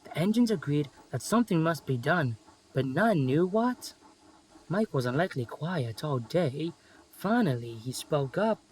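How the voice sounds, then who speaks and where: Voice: mid-range voice, expressive, measured pace, darkish tone, a little hiss or hum, rough recording. A narrator reading a scripted children's railway story. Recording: music under the voice.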